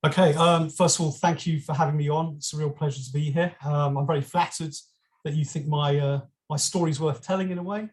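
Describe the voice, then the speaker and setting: A man's voice: on the high side, fairly even intonation, brisk. A middle-aged man from London with a British accent, a podcast guest telling his story with frequent ums and restarts. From England. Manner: hesitant, many ums and restarts. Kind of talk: conversational storytelling.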